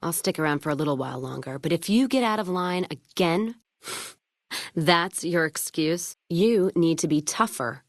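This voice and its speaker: A woman's voice: fairly low pitch, expressive, a little slow, no room sound, studio quality. A woman playing a tough, hard-drinking, world-weary caravan owner in a video game, blunt, sardonic and foul-mouthed. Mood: stern, blunt. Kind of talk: video game character dialogue.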